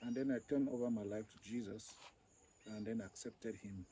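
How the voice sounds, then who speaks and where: A man from Cameroon, a ministry director, telling his story slowly and deliberately in an interview. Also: lowish voice, mellow, slight background noise. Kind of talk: interview testimony.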